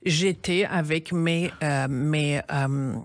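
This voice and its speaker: A middle-aged woman from Iran, a charity founder telling her story in a radio interview in earnest, halting phrases with restarts. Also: deep voice, dry recording.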